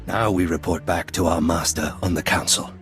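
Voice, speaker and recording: An actor playing a stern, disdainful Sith enforcer in a video game, with a raspy voice and cold, formal delivery. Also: male voice, sits low, steady tone, moderate pace, music in the background, close to an everyday voice. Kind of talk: cinematic game voice acting.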